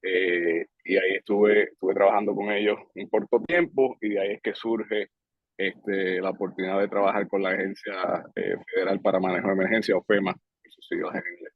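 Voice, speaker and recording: A husky, somewhat low-pitched man, talking things through in an unhurried, measured way and pausing often to find his words. The recording is dry and close, with a slightly dull, muffled top end. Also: no room sound. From Puerto Rico. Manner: relaxed, measured, with frequent hesitations. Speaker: guest, public safety director. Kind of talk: casual interview talk.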